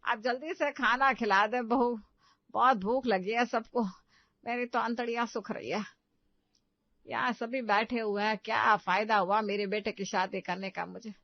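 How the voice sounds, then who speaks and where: A woman's voice: on the high side, fairly even intonation, moderate pace, dry recording, dull audio, lightly acted. A woman playing a proud, stubborn middle-aged mother-in-law who complains emphatically in a comic family drama. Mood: complaining. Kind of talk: Hindi comedy story dramatization.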